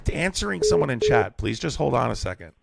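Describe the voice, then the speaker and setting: A man's voice: medium pitch, very animated, fast talker, vocal fry, heavy background noise. A young man hosting call-in debates on a live stream, exasperated and mocking, who says he is losing his voice.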